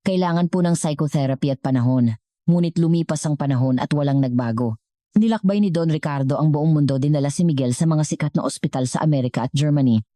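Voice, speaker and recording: A woman's voice: sits low, quick pace, dark tone, no echo, clean studio sound. A woman with a Filipino accent reading a scripted, dramatic story as a storyteller.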